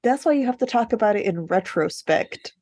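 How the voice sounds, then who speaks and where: A woman's voice: on the high side, expressive, brisk, a little mellow, dry recording, studio quality. A woman co-hosting a podcast in casual chat, with a wry, self-mocking, joking way of talking. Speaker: podcast co-host.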